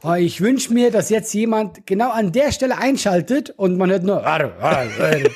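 A man with a Swiss German accent, chatting casually and telling animated stories with frequent laughter. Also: high-pitched, unhurried, slightly rough. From Switzerland. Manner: animated storyteller, laughs often. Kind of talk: casual chat.

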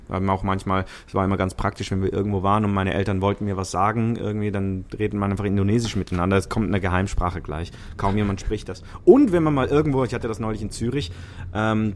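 Man, lower voice, talkative podcast guest, musician. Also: a little hiss or hum. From Switzerland. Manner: lively, talkative, laughs easily, many fillers. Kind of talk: casual chat.

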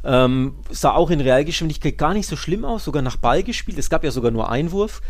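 A man co-hosting a football podcast, discussing the game casually with colloquial, measured analysis. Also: a little high, expressive.

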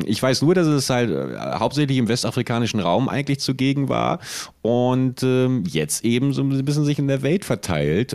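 A man with a fairly low voice, recorded close and dry. He chats casually as a podcast co-host, rambling and tripping over words with ironic, self-deprecating humor. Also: a little mellow, no echo. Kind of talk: casual joking chat.

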